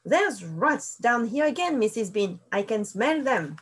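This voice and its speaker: A big, simple servant woman, meek and eager to please, her high voice bobbing up and down, as a woman plays her. Storybook reading. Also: very animated, unhurried, husky, exaggerated voice.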